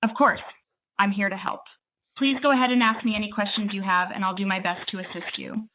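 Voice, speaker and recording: Tinny phone-line woman, brisk customer service agent. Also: medium pitch, steady tone, bright, forceful tone. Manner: polite, formal, evenly measured phrasing without hesitation. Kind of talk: scripted customer service answers. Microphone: phone call.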